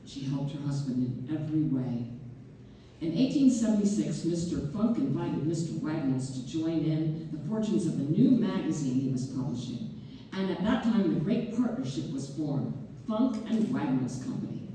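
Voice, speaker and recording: A man's voice: a little high, expressive, normal speed, warm tone, lightly acted. A man playing a dignified poet who delivers a formal, oratorical eulogy for a friend in a staged play. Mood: solemn, admiring. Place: community theater hall. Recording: very echoey, far mic, faint noise.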